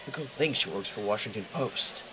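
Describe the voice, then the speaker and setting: A man playing a cocky, image-conscious high school boy in an audio drama, glib and self-assured. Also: lively intonation, fairly quick, pushed and bright, slight background noise. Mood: self-satisfied.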